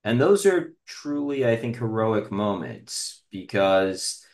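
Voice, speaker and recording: A man in casual podcast conversation, speaking reflectively and haltingly with frequent pauses, in roomy, echoing sound. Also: fairly low pitch, big pitch swings, darkish tone.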